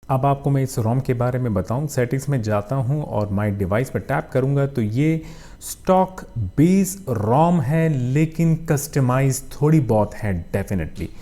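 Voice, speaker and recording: A man's voice: mid-range voice, lively intonation, measured pace, mellow. A man with a Pakistani accent narrating a casual phone review as a channel host, often using filler phrases and mixing in English tech terms.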